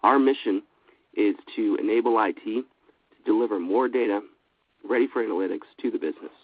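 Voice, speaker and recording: A man with a cold giving a steady, businesslike webinar presentation, with frequent fillers. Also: lowish voice, a little fast, no echo. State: has a cold. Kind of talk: scripted product presentation. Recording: dry, phone-like line.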